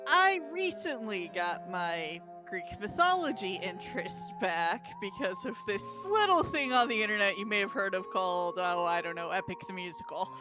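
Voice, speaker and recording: A woman's voice: medium pitch, lively intonation, moderate pace, hardly any pauses. A woman tabletop player casually explaining the character they made. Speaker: tabletop role-playing player. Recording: music playing behind the voice. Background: clear background music.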